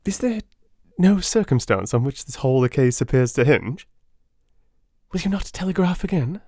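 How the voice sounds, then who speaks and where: A man voicing a brilliant gentleman detective in a dramatised audiobook, with a probing, smoothly polite and amused manner. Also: mid-range voice, expressive, moderate pace, no room sound, studio quality, voice pushed up. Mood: probing, incredulous. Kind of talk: dramatic audiobook character acting.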